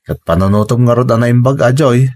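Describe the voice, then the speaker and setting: A man's voice: medium pitch, fast talker, a little mellow, close to an everyday voice. A man playing a concerned, supportive friend in an Ilocano radio drama, conversational and advising, with an Ilocano accent. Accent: Filipino, Ilocano. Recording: music bed under the voice. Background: music.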